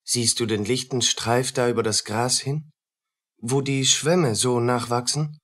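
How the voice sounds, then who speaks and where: An actor playing a haunted, humble poor soldier in a radio play, speaking in halting fragments with a husky voice. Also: male voice, mid-range voice, fairly even intonation, fairly bright tone. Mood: haunted, fearful.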